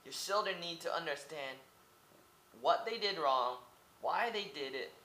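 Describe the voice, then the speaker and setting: Rambling young man, bright echoey webcam. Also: a little high, animated, a little slow, slight background noise. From Oregon, United States. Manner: rambling, asks many rhetorical questions, repeats words. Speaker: vlogger. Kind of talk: casual monologue to camera. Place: small indoor room. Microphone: webcam at close range.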